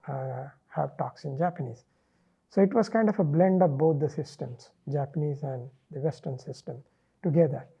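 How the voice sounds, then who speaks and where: A middle-aged man from India, a native Marathi speaker with an Indian English accent, talking in an interview in a measured, thoughtful way with many pauses. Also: on the high side, gravelly, warm tone, slightly rough, highs cut off. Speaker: interviewee, linguist. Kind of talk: relaxed reflective interview.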